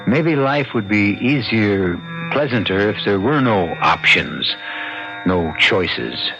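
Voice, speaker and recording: Slow, musing storyteller. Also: male voice, on the low side, lively intonation, vocal fry, over music, full character voice. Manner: deliberate, musing, theatrical. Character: wry, musing male mystery-show storyteller. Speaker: host and narrator. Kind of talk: old-time radio narration. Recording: dull old radio recording.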